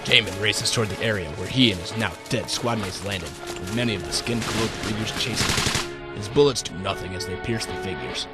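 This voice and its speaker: A man reading scripted dramatic narration for an amateur radio drama, in a deliberate, measured way. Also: fairly low pitch, loud music behind the voice.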